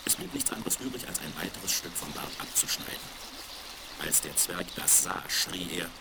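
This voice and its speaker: A man's voice: low-pitched, lively intonation, normal speed, creaky. A man reading a scripted fairy tale for children as the narrator of a radio play. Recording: crackly, very noisy 1970s vinyl record.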